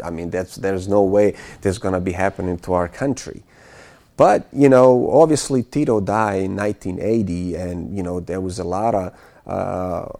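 A man from Bosnia, around forty, recalling his childhood as an interview guest, speaking haltingly with many fillers and restarts. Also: sits low, mellow. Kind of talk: casual spoken recollection.

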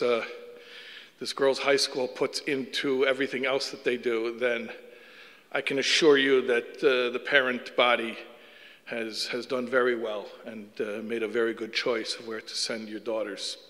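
Man with a Chicago accent, guest lecturer: earnest and self-deprecating, bright tone, lecture-hall echo. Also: mid-range voice. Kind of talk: public lecture with jokes.

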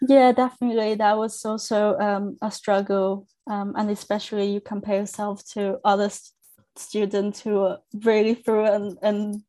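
Earnest young woman from China, warm tone. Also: mid-range voice. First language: Chinese. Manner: thoughtful, earnest, with frequent restarts. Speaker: academic coach, guest. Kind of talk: podcast interview.

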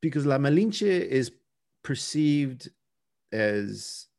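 A man's voice: medium pitch, sing-song, slow pace, gravelly, darkish tone. A man co-hosting a podcast, discussing folklore casually, often circling back and repeating words as he thinks. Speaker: podcast co-host.

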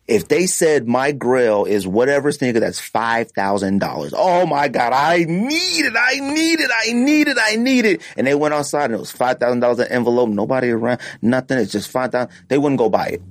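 A man with a very lively, swooping delivery hosts a casual podcast debate. He is emphatic and repeats phrases for effect. The sound is dry and close. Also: medium pitch, brisk, no room sound. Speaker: podcast host.

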